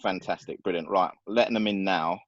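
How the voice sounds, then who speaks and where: A man hosting a video call in an upbeat, chatty way, quick to praise. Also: deep voice, no echo. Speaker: host and organizer. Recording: dull dry video call audio.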